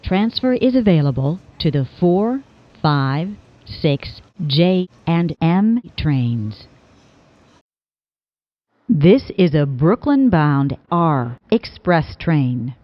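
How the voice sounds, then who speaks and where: A woman gives recorded transit announcements in a fairly low voice. Her pitch moves noticeably between phrases, and her delivery is very unhurried. The clips sound slightly muffled and lo-fi. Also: big pitch swings, no room sound. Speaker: subway train announcer. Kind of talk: scripted automated transit announcements, spliced phrases.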